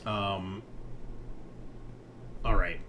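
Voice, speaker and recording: A man's voice: low voice, fairly even intonation, drawn-out words, bright, forceful tone, slight background noise. A man casually running a tabletop game as game master, easygoing and joking in his talk.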